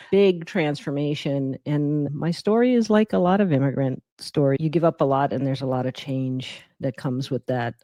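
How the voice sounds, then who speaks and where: A middle-aged woman from Guyana who grew up in New York, telling her personal story reflectively as an interview guest, with thoughtful, deliberate phrasing. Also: a bass voice, darkish tone, no room sound. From born in Guyana, raised in the Bronx from age seven. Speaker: interview guest, emergency physician.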